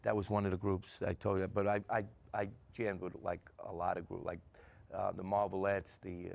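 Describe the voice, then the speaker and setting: A man's voice: low voice, little pitch movement, normal speed, gravelly, a little mellow. A middle-aged man, a guest musician rambling through stories in casual chat with many restarts.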